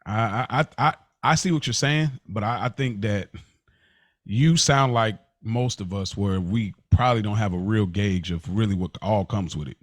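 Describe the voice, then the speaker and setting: A man co-hosting a podcast, talking in a relaxed, rambling way with many restarts. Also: fairly low pitch, normal speed, no echo, studio quality. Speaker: podcast co-host. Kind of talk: casual chat.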